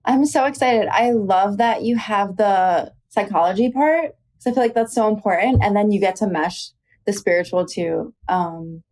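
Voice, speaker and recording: Upbeat podcast host lady, mid-pitched, chatty. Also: moderate pace, a little mellow. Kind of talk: casual podcast interview.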